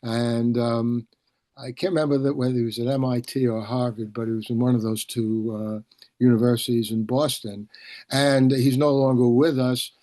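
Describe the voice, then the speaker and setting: A man hosting a casual video-call discussion, explaining things in a halting, discursive way and often repeating words. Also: medium pitch, flat delivery, warm tone, no echo. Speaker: host, publisher and professor.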